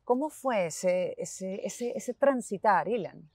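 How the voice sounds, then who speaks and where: A woman in her thirties hosting an interview, asking questions in a warm, lively way. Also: mid-range voice, slow pace, dry recording. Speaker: interviewer, host. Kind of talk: conversational interview. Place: outdoors in a city park. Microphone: clip-on lapel mic.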